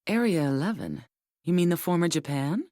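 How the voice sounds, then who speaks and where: An actress playing a stern, hard-headed princess and military commander in an English anime-dub audio drama, blunt and commanding. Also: female voice, on the low side, animated, moderate pace, dark tone, no echo, clean studio sound, lightly acted. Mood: cool.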